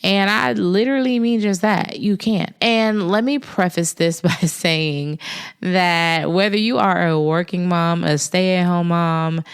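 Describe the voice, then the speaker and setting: Raspy-voiced woman podcast host. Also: lowish voice, unhurried. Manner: chatty, personal, self-deprecating. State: raspy voice after an illness. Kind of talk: casual solo podcast talk.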